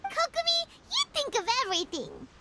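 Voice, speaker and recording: A woman voicing a small, childlike, excitable fairy companion in a video game, with a squeaky voice. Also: normal speed, heavy background noise, exaggerated voice. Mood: excited. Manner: exclaiming, indignant, singsong. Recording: music behind the voice. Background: loud music.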